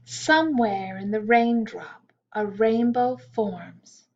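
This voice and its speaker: A woman reads a children's poem aloud at an unhurried, lingering pace, her voice gentle and a little high. She drops into soft hushed asides between the verses. The recording is dull and lo-fi. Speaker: storytime reader. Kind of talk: reading a picture-book poem aloud to children.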